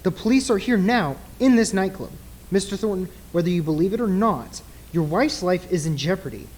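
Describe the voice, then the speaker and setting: Casting: man to play solemn nightclub mind reader, radio drama, husky, formal, earnest and urgent. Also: high-pitched, lively intonation, darkish tone. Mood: grave, insistent. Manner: formal, deliberate. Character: earnest male nightclub mentalist, grave and urgent. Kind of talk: old-time radio play acting.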